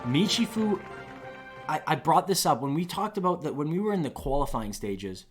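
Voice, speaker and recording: A man commentating live on an esports match, losing his voice, talking in a rambling, excitable way that climbs to loud peaks on big plays. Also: on the high side, moderate pace, soft background music. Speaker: esports caster.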